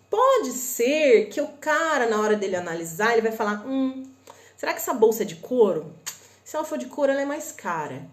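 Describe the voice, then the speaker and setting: Chatty brazilian woman, paraná accent, voice jumps up and down a lot, roomy echo. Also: high-pitched. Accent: northern Paraná Brazilian Portuguese. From northern Paraná, Brazil. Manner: chatty and animated, addresses viewers as "gente", many tag questions. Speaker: host of a live stream on shopping imports. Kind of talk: casual live-stream explainer.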